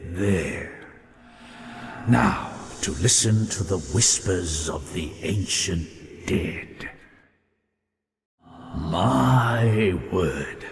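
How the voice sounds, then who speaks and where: A long, hollow reverb with some hiss surrounds this ghostly old-world librarian from a fantasy game. He muses in florid, scholarly phrases, slowly and deliberately, with fascination and melancholy. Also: fairly low pitch, full character voice. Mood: reverent, fascinated. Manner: erudite, deliberate, florid, musing. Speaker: non-player character in a fantasy game. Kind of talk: theatrical game dialogue, reading and translating old texts.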